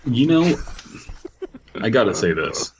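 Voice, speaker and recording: A man's voice: medium pitch, very animated, slow pace, slight background noise. A man co-hosting a podcast, moving between reading stories and casual comedic chat, on a muffled lo-fi microphone. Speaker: podcast co-host.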